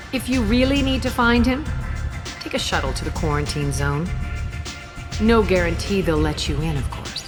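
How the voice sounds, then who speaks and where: Woman doing an imperious alien crime queen, sardonic and unhurried, video game, music thumping behind her. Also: on the low side, close to an everyday voice. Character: cool, commanding female alien crime boss.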